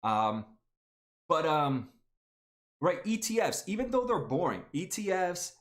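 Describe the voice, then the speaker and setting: A man's voice: fairly high pitch, sing-song. Chatty young man hosting a livestream. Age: 20s. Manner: halting, many fillers and restarts, addresses viewers by name. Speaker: stock market livestream host. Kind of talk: casual livestream chat reading viewer comments.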